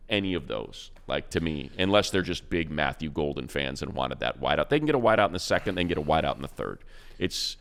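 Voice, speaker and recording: Deep-voiced man talking football. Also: vocal fry, fairly bright tone. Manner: rambling, fast, emphatic. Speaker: radio play-by-play announcer and co-host. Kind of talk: animated football talk.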